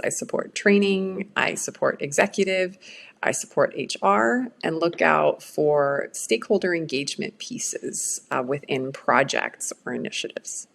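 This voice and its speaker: A middle-aged woman whose first language is Swedish talks through a workshop lesson in a low, slow voice. There is a gravelly fry at the bottom of her tone. She speaks in an earnest, reflective way. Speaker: change management presenter. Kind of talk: recorded workshop talk.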